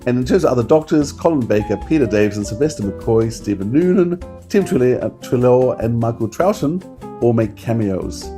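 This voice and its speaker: Mellow-voiced, informal male podcast co-host. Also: medium pitch, lively intonation, brisk. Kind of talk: casual podcast chat, reading credits. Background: acoustic guitar music.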